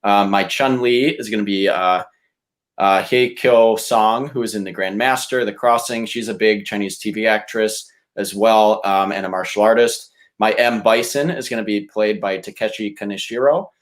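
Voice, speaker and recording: A man on a podcast casually pitching a movie, with a halting, informal delivery. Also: steady tone.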